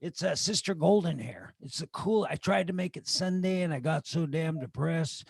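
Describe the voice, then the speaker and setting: A man's voice: fairly high pitch, expressive, moderate pace, gravelly, darkish tone, breathy edge, no echo. A man chatting casually on a video call, encouraging and jokey. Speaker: show co-host.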